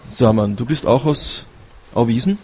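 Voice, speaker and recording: Radio host, male, middle pitch, friendly and prompting, light hiss and clicks on the line. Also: normal speed. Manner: friendly, prompting questions with fillers. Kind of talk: live community radio chat.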